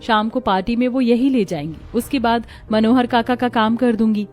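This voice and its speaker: A woman's voice: on the high side, moderate pace, darkish tone, faint music behind, close to an everyday voice. A woman voicing a modest, hardworking young village seamstress in a cartoon, polite and earnest. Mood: earnest, grateful.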